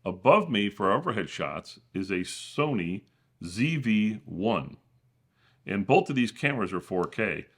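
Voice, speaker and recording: A man with a deep, booming voice walks through his home studio setup. He explains casually and often restarts his sentences. The sound comes from a camera-mounted shotgun mic in a small room. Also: big pitch swings, measured pace. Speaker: channel host. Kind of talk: studio tour walkthrough.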